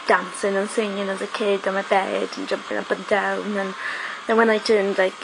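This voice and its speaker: A woman's voice: mid-range voice, level tone, moderate pace, creaky, noisy background. A young woman, chatting casually to her webcam, full of ums and likes. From South Wales. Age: young adult. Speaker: vlogger. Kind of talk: casual talking-to-camera vlog. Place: small room indoors. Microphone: webcam at close range.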